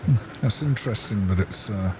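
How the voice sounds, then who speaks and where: A man's voice: on the low side, fairly quick. A man giving casual video game commentary, thinking aloud in short remarks. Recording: clearly noisy, game sound behind voice. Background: video game ambience.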